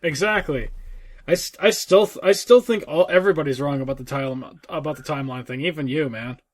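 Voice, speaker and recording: Guy cohosting a gaming podcast, kinda high voice, casual chat, sweary and informal. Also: brisk. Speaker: podcast co-host.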